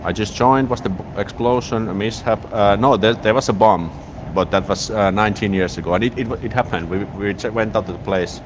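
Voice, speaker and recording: Finnish-accented man, deep voice, laid-back stream host, clear street noise, phone on a gimbal. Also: a little fast, hardly any pauses. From Finland. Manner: relaxed, rambling, frequent restarts. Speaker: YouTube live streamer. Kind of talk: casual live-stream chat, reading viewer comments. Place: outdoors in a city, near a train station. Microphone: smartphone on a gimbal. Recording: outdoor street noise behind.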